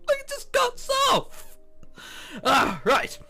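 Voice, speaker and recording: Lively high-voiced man doing game commentary. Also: takes it slowly, vocal fry. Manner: incredulous, jokey exclamations. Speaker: game streamer host. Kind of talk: commentary while reading a visual novel aloud. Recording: music playing behind. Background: game background music.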